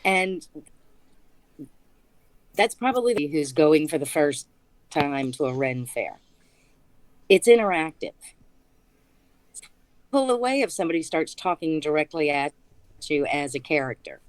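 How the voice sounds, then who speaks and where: A woman guest on a casual interview podcast, speaking haltingly with restarts and easy laughter. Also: low-pitched, big pitch swings, no echo, low-quality recording. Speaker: guest, small business owner.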